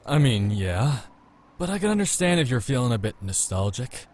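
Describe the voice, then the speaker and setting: A man's voice: mid-range voice, big pitch swings, normal speed, darkish tone, close to an everyday voice. A man voicing a cocky, playful male love interest in a visual novel, teasing and breezy, over music. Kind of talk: visual novel character reading.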